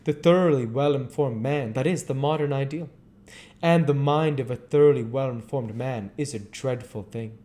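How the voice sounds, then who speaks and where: A man voicing a witty, cynical gentleman aesthete in a storybook reading, pompous and teasing. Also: medium pitch, normal speed, lightly acted. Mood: cynical, musing.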